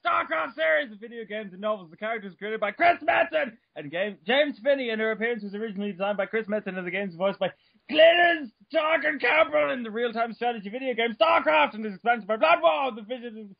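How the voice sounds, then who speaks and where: A man giving an over-the-top dramatic reading, loud and fast, tripping over his words as he goes. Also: very high-pitched, lively intonation, vocal fry, no room sound, muffled recording. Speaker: reader of a comic dramatic reading.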